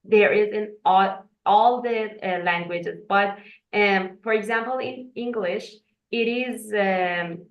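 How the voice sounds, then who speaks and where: A woman's voice: medium pitch, takes it slowly, highs cut off. A woman, a Farsi speaker, who speaks English hesitantly with many fillers as an interview guest. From Iran. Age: 40s. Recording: echoey room.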